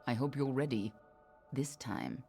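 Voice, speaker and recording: A woman's voice: very deep voice, a little slow, a little mellow. A woman playing a guarded, sardonic warrior-spy in a fantasy video game, speaking in a dry, wry, confiding way. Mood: wry resolve. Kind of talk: video game character dialogue. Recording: music behind the voice.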